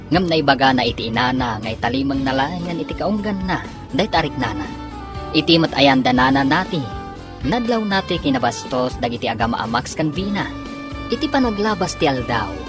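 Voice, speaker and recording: A woman with a low voice narrates a radio serial over clear background music. Her scripted delivery is dramatic and swings widely in pitch. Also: animated, normal speed.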